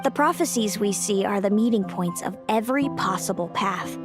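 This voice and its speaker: A woman's voice: medium pitch, moderate pace, close to an everyday voice. Actress as a self-assured, fatalistic woman seer over music. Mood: confident challenge. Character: fatalistic woman seer, confident and resigned competitor. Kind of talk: scripted video game voice acting. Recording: music behind the voice.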